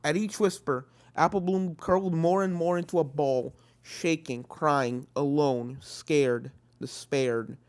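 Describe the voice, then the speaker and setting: A storyteller reading fan fiction aloud in a dramatic scripted narration style, at a medium pitch. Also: steady tone, slow pace, few pauses, a little mellow. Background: video game music.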